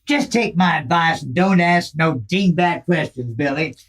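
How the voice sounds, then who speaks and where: A man playing a gruff, seasoned outlaw henchman in a Western radio play, raspy and bossy, with an American Western drawl. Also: a little high, measured pace, a bit bright. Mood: curt warning. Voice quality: gravelly, raspy.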